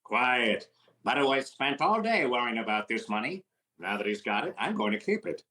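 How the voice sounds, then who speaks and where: An actor playing a wisecracking, fast-talking middle-aged comedian in an old-time radio comedy recreation. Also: male voice, mid-range voice, big pitch swings, pushed and bright. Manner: quick wisecracks, sly teasing delivery.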